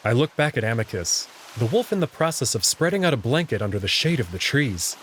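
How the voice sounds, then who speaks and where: Lively male narrator over faint birdsong. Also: medium pitch, slight background noise. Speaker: narrator and protagonist. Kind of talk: first-person visual novel narration and dialogue.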